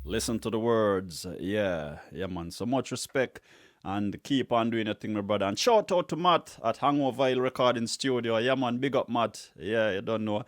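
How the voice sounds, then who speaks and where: A middle-aged man with a Jamaican accent hosting a music show, casually introducing tracks and giving shout-outs in a conversational, slangy way. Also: fairly low pitch, moderate pace, no echo, clean studio sound. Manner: conversational, repeats slang affirmations.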